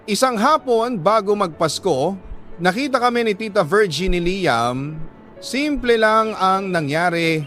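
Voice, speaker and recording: A Filipino man reads a first-person letter as dramatic scripted narration, in short, measured phrases. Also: sits high, lively intonation, a bit bright, faint music behind.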